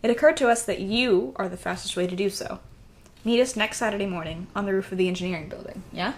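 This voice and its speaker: An actress as a college-age woman vigilante in an audio drama. She talks casually and confidently, with a teasing, caring streak and a medium pitch. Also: quick pace, close to an everyday voice. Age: young adult. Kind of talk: naturalistic audio drama dialogue.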